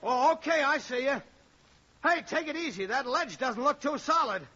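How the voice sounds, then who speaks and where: A man's voice: very high-pitched, moderate pace, bright, forceful tone. An actor in an old-time radio drama playing an earnest young airman just out of college. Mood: urgent, steady. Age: young adult. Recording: dull old radio recording.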